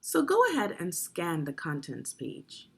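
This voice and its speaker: A woman narrating an instructional children's read-along as a teacher, phrasing deliberately and guiding young listeners through the text. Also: lowish voice, huge pitch swings, a bit bright, slightly rough. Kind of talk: instructional read-aloud of a children's nonfiction book.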